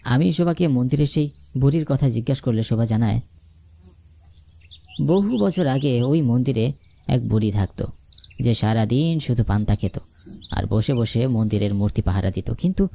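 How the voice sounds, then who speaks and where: A man's voice: medium pitch, vocal fry, warm tone. A man narrating a scripted first-person ghost story in a dramatic, suspenseful storytelling manner.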